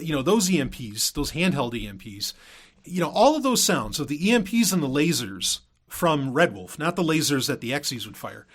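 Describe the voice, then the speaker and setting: A man hosting a podcast as an audio drama creator, talking casually about behind-the-scenes work in a halting, rambling way, repeating words for emphasis. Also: on the high side, a bit bright.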